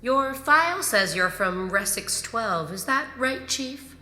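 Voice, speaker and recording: An actress playing a composed, commanding imperial grand marshal in a sci-fi audio drama, measured and probing. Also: female voice, fairly high pitch, lively intonation, bright, forceful tone, full character voice. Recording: echoey hall reverb, music underneath.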